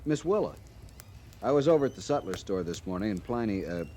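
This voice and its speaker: An actor playing an awkward, polite young cavalry lieutenant in a radio western, speaking hesitantly and earnestly. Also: male voice, lowish voice, sing-song, fairly quick, dark tone. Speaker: junior cavalry officer. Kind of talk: old-time radio western acting. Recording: noisy old radio recording.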